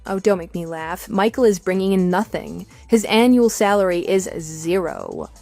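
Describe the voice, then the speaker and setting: A woman's voice: on the low side, sing-song, normal speed, creaky, a little mellow, soft background music. A woman playing a composed, matter-of-fact wife with a dismissive edge in a scripted audio drama. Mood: dismissive, amused. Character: composed, wry wife divorcing her cheating husband.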